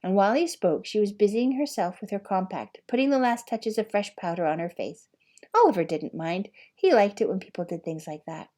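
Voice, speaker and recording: A woman's voice: mid-range voice, lively intonation, fairly quick, dark tone. A woman narrating a storybook expressively as an audiobook reader.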